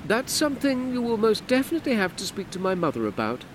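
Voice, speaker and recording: Brisk suspicious official woman, as a man voices her. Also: sits high, huge pitch swings, noisy background, pitched above the performer's own voice. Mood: defensive. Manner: curt, defensive, clipped.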